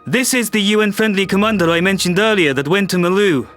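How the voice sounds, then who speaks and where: A man's voice: sits high, fast, a bit bright, soft background music. A man playing a harried human world leader, tense and exasperated.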